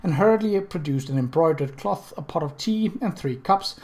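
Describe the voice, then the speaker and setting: A man reading a period mystery novel aloud as scripted narration, with measured storybook phrasing. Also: fairly high pitch, lively intonation, darkish tone. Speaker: audiobook narrator.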